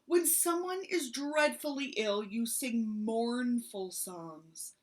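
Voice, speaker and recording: A woman's voice: fairly high pitch, lively intonation, slow pace, voice pushed up. A woman doing a stuffy-nosed, grumpy sick bear. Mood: grumbling. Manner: whiny and self-pitying. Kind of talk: storybook read-aloud.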